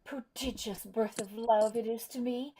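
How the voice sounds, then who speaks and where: A woman's voice: a little high, fairly even intonation, measured pace, warm tone, low-quality recording. A woman playing a lovestruck, anguished young heroine in a theatrical table read over a video call. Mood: tearful despair.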